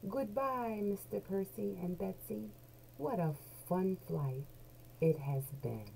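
A woman's voice: medium pitch, gravelly. A middle-aged woman reading a children's picture book aloud expressively, drawing out key words in a small room at home. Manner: animated storyteller, deliberate, drawn-out key words. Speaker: children's book read-along host. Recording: noisy home webcam recording.

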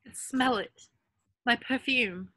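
A woman's voice: on the high side, unhurried, sharp tone, dry recording. A woman reading the part of an anxious, bookish teenage witch in a script table read. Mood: worried. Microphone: video call.

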